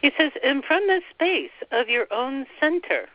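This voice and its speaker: Slow, soft-spoken woman guiding a meditation. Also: high voice, very animated, no room sound. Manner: soft, relaying every phrase with "he says". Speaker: channeler relaying a spiritual guide. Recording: dull, dry lo-fi recording.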